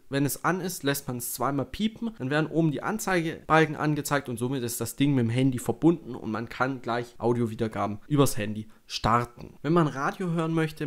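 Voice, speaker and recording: A young adult man explaining things matter-of-factly in an informal review voice-over. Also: mid-range voice. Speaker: product reviewer.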